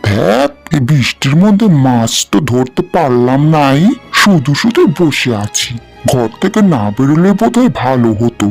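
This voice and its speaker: Guy doing a poor simple village husband in a cartoon, kinda plaintive, up and down pitch, soft music behind. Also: sing-song, normal speed, creaky. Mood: disappointed. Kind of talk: cartoon fairy tale acting.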